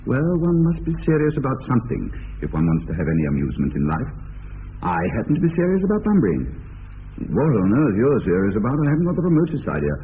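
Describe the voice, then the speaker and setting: A flippant young dandy. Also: male voice, mid-range voice, wildly swooping pitch, fast. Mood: teasing. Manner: languid, teasing. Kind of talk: classic radio comedy acting. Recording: tinny lo-fi radio broadcast with hiss.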